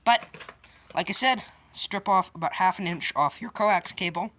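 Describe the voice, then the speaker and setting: A woman's voice: mid-range voice, a little slow, a bit bright. A woman giving a casual hands-on tutorial in an informal, offhand, colloquial way. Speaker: DIY video host. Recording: clear background noise with clicks.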